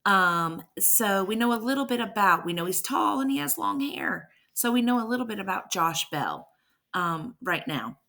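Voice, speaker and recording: A woman's voice: mid-range voice, very animated, moderate pace, bright, forceful tone. A woman teacher reading a verse story aloud with rhythmic, emphatic delivery and brief explanations.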